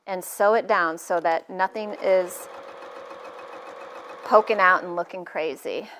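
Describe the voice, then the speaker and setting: A woman's voice: medium pitch, background noise. A middle-aged woman hosting a live sewing tutorial in her studio, chatting casually and joking at her own expense as she works. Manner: chatty, self-deprecating, jokey asides.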